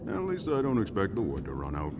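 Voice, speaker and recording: Deep-voiced, lilting, genial barkeeper. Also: male voice, wildly swooping pitch, fast talker, background noise. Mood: wry. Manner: folksy, easygoing, wry. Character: genial, world-weary small-town barkeeper. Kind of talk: cinematic video game drama acting.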